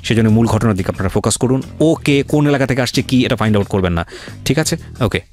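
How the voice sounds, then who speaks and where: A man's voice: medium pitch, fast, a little mellow, faint music behind. A man with a Bangladeshi accent hosting a radio show, questioning a guest and explaining points to listeners, repeating himself and mixing in English. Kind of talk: interviewing and commenting to listeners.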